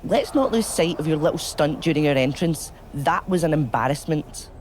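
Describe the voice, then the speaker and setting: A woman's voice: low-pitched, expressive, moderate pace, darkish tone, a little hiss or hum. A woman voicing a cool, disapproving member of a witch coven in a wrestling video game, dry and sceptical. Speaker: voice actor in a video game cutscene.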